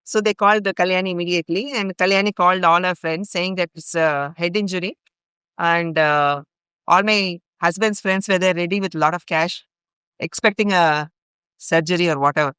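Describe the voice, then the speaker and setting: Earnest middle-aged Indian woman, smooth voice. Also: lowish voice, steady tone, normal speed, fairly bright tone, no room sound. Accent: Indian English. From India (grew up in Bhopal). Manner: earnest, recounts events in long chains with fillers. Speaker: guest, longtime ashram volunteer. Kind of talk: podcast storytelling.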